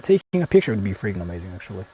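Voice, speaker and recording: A man's voice: sits low, sing-song, rapid-fire, warm tone, slight background noise, low-quality recording. A young man live-streaming outdoors from his phone, chatting casually with viewers and swearing freely. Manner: chatty, talks to viewers, frequent swearing and fillers. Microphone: phone held while streaming.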